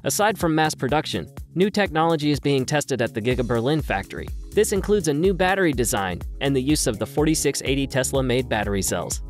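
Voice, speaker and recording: A man reading a scripted explainer as a video narrator. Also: mid-range voice. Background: background music.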